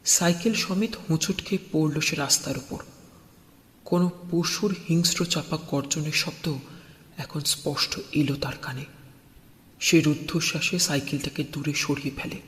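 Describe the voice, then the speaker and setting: Male horror audiobook narrator, higher register, suspenseful and expressive, drenched in long reverb. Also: moderate pace. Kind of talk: dramatic scripted horror narration.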